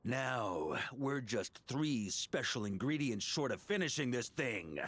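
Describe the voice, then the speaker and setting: An actor playing an easygoing, boastful veteran knight commander in a video game, telling tales with theatrical self-assurance. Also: male voice, mid-range voice, expressive, takes it slowly, fairly bright tone. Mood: jovial, persuasive. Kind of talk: English video game voice acting. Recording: game music behind the voice. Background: game music.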